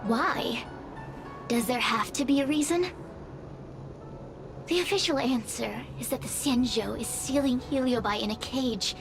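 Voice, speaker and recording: A woman's voice: high voice, vocal fry, low-quality recording. A woman voicing a proud, mocking fire spirit in a video game, speaking in a theatrical storyteller's manner. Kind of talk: video game character acting.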